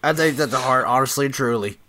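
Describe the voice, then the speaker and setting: A man's voice: medium pitch, fast talker, pushed and bright, slight background noise, pitched below the performer's own voice, natural voice. A man voicing an earnest young man in his twenties with a put-on Southern drawl. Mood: earnest, wounded. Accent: put-on American Southern. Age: 20s.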